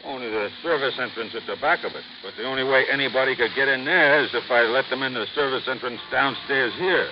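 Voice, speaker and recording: A man's voice: medium pitch, brisk, few pauses, close to an everyday voice. Give me an actor as a talkative folksy doorman in a muffled, hissy old radio play. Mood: chatty. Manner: rambling, ungrammatical, keeps seeking agreement. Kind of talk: 1950s radio drama acting.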